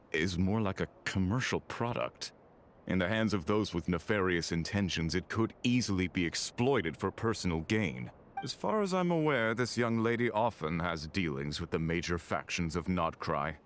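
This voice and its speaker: An actor playing a stern, devout man who speaks formally and gravely in a video game. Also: sits low, a bit bright, slight background noise. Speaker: solemn moon-faith guardian.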